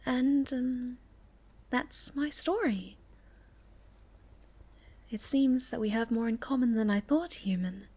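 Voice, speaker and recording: A woman acts out a sweet young moth girl with a fairly high voice. She is shy and hesitant, telling her story softly and intimately as ASMR roleplay. Her gentle speech often sinks into a breathy near-whisper. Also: slow pace, long pauses. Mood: tender, wistful.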